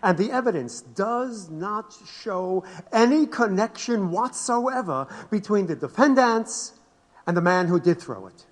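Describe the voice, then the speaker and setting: A man's voice: high voice, lively intonation. Echoing, declamatory courtroom lawyer acted by a man. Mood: solemn, emphatic. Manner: deliberate, declamatory. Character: solemn, emphatic defense lawyer addressing a court. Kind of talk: theatrical courtroom oration in audio drama. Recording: long hall reverb.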